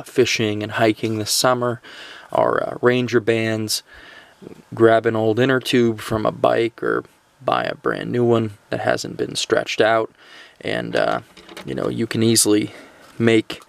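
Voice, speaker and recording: A man with a creaky, gravelly voice talks through a casual gear review. He speaks off the cuff and haltingly, often pausing mid-phrase. The sound is dry and close, with little room around it. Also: on the low side, dry recording. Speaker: gear and radio reviewer hosting a video. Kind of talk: casual product review talk, unscripted.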